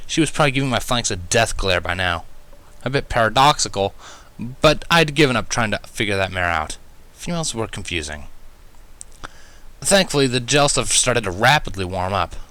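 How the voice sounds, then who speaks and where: A man reading a story aloud as narrator, with expressive delivery. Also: on the low side, moderate pace. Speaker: fan fiction reader.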